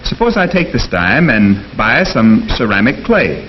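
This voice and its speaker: An actor playing an earnest American professor in a 1950s educational film, speaking in a formal, deliberate, didactic way. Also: male voice, medium pitch, very animated. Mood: earnest, instructive. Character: earnest male economics professor lecturing his class. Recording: dull, noisy 1950s film soundtrack.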